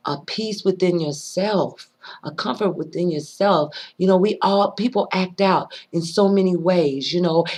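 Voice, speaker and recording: A woman with a rough voice giving an earnest, preachy monologue, pausing often and repeating her words as she thinks aloud. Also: fairly low pitch. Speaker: vlogger sharing personal views. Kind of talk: unscripted spoken monologue.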